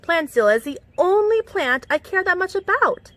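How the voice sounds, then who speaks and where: A woman reading a children's picture book aloud in an expressive, animated storytelling manner with clear enunciation. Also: very high voice, normal speed, dry recording. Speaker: storytime reader. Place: outdoors among plants.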